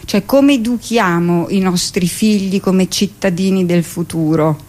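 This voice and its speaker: A woman's voice: medium pitch, unhurried, a little mellow. A woman speaking as a guest in a conversational radio interview, with a digressive way of talking full of asides. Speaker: radio guest, theatre director and performer.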